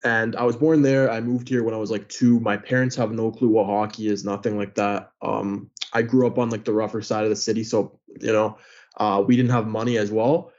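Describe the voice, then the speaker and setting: A man's voice: on the low side, little pitch movement, fairly quick, a little mellow, lo-fi sound. A young man in his twenties, born in Greece, answering interview questions in a chatty way with many filler words. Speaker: guest, hockey player and sports news account runner.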